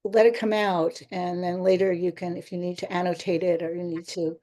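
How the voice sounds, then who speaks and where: A woman author answering interview questions in a chatty, rambling way, often pausing and laughing lightly. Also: lowish voice, level tone, warm tone.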